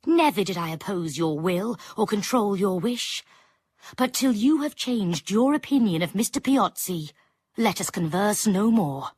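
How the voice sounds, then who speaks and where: Dignified, defiant gentlewoman, dry sound. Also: mid-range voice, lively intonation, slightly rough, dry recording. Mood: cool, resolute. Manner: formal, measured, firm. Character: dignified, defiant widowed gentlewoman, proud and composed. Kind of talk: period radio drama acting.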